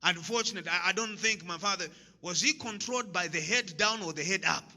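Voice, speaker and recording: Bright-voiced Zambian man preaching in a hall. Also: sits high, fast. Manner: emphatic, repeats key phrases, laughs at own jokes. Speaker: motivational speaker. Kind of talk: motivational talk to an audience. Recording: long hall reverb.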